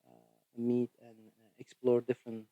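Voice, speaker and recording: A man from Turkey with a Turkish accent, speaking English as a second language. He is a physical therapist guest on a podcast, and he answers haltingly with many "you know" fillers. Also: medium pitch, monotone, no room sound, narrow, tinny audio.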